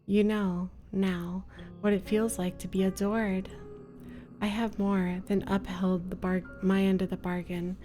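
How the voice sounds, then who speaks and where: A woman voicing a lovesick, possessive witch in a visual novel, speaking slowly and formally with menacing tenderness. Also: mid-range voice, level tone, dark tone, soft background music, close to an everyday voice. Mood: possessive, tender. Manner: slow, deliberate, formal. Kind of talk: dramatic visual novel reading.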